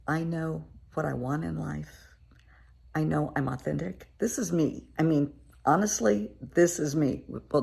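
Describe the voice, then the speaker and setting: A woman therapist with a cold, her voice croaky and raspy, giving frank and encouraging advice straight to the viewer from her living room. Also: deep voice, darkish tone. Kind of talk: casual direct-to-camera advice talk.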